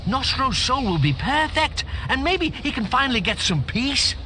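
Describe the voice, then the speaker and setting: An actor playing an eager, bookish guild scholar in a fantasy game, explaining things excitedly. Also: male voice, extremely high pitch, normal speed, background noise. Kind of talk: video game cutscene acting. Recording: music behind.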